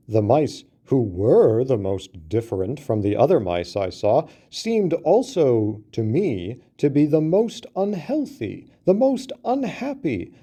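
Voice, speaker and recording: Patient, well-meaning elephant, read by a man, slow delivery with a lively pitch. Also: slightly rough, full character voice.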